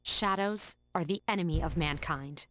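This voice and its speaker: An actress playing a stern female high school senior who leads with formal, measured authority in an English video game dub. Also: fairly bright tone, slight background noise, natural voice. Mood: resolute.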